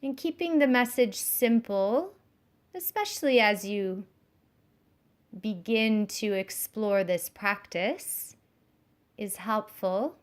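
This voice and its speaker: A woman giving guided yoga nidra instruction in a soothing, measured, gently instructive way. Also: medium pitch.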